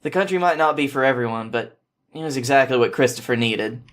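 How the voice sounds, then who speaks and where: Male podcast storyteller, mid-pitched, informal narration with occasional small stumbles. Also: a little fast, fairly bright tone. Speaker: podcast host reading fan fiction.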